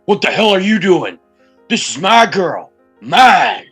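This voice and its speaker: Man playing a furious, husky bullying college jock. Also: high voice, sing-song, slow, faint music behind, heavily acted. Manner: belligerent, shouting. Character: pissed, possessive, bullying young male college jock. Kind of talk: dramatic table read of a screenplay.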